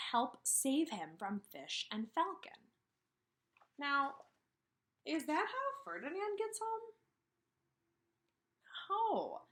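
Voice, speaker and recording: A woman in her thirties speaks as a kindergarten teacher to small children. Her voice is bright and very lively in pitch, at a slow, deliberate pace. She asks questions and leaves room for answers, stressing key words. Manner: animated, asks questions and pauses for answers, slow emphatic phrasing. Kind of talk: read-aloud lesson addressed to young children. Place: small indoor room with brick wall.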